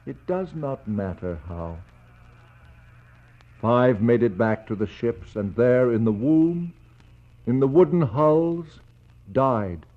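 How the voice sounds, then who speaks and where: An actor playing a somber explorer who searches for a lost expedition, speaking measured, solemn, incantatory poetry in a 1960s radio drama. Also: male voice, mid-range voice, expressive. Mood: solemn intensity. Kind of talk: 1960s radio drama acting, poetic narration. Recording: muffled 1960s radio recording.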